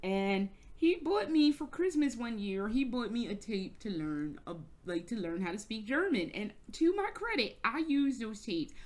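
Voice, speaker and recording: A woman's voice: on the high side, very animated, normal speed, few pauses, a little mellow. An American woman telling a rambling, casual story for her vlog, swearing freely and restarting her sentences often. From United States. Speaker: vlogger. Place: indoors, travelling in Germany.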